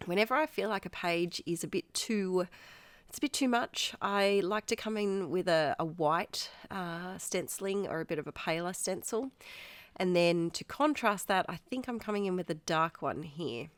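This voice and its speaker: Stuffy-nosed Australian woman, low and dry. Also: moderate pace, dry recording. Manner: chatty, rambling, self-deprecating, laughs often. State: stuffy, nasal congestion. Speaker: art channel host. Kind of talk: casual voiceover narrating an art process.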